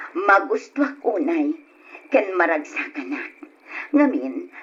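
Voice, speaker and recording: A Filipino woman with an Ilocano accent playing a loving, devout mother in an earnest, sentimental old radio drama style. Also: on the low side. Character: loving, forgiving mother and wife, tender and devout. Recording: dull, noisy old radio drama recording.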